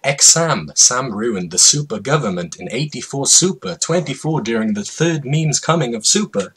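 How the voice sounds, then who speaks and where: A man giving a mock-dramatic reading of a comedic story in an over-the-top, deliberately absurd way, drawing out words. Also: medium pitch, expressive, fairly bright tone, breathy edge.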